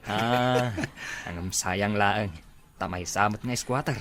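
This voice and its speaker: A man's voice: fairly low pitch, slow pace, fairly bright tone, a little hiss or hum. A man playing a mocking, snobbish young buddy in an Ilocano radio drama, teasing with a drawl. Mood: teasing, scornful.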